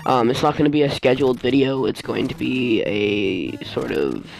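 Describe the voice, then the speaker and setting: Male gaming host, gravelly fry, mid-pitched, rambling casual voice-over, game music behind. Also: low-quality recording. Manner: rambling, halting, often losing his train of thought. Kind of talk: casual let's play voice-over commentary.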